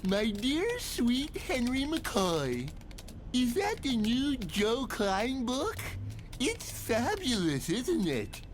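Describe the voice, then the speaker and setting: Male actor as huge crude comic villain, swooping pitch, slow theatrical delivery, noisy rumbling track. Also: huge pitch swings, heavily acted. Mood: gushing, lovelorn. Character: crude huge male villain catfishing as a gushing lovelorn woman. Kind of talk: motion comic voice acting.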